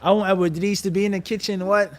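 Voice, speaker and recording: A man's voice: high voice, fast talker. A man teaching an Islamic class in a conversational, prompting way, asking his listeners questions and mixing Arabic terms into his English. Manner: animated question-and-answer, prompting listeners to finish his sentences. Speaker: Islamic teacher leading a live-streamed class. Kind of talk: religious lecture to a class, conversational. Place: mosque class.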